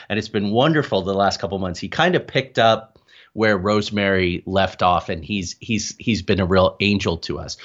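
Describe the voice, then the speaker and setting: Low-voiced man, muffled lo-fi sound. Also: fairly bright tone. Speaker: paranormal researcher, podcast guest. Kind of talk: casual interview talk.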